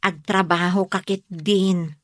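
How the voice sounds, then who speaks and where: A woman's voice: lowish voice, steady tone, slow. An actress with an Ilocano accent playing a coy, easily irritated young working woman in a radio drama. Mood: mildly annoyed.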